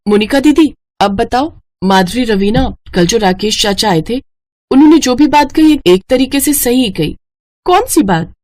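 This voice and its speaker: A woman's voice: fairly high pitch, animated, normal speed, a little mellow, voice pushed up, close to an everyday voice. A woman voicing an earnest, determined young village girl in a cartoon story.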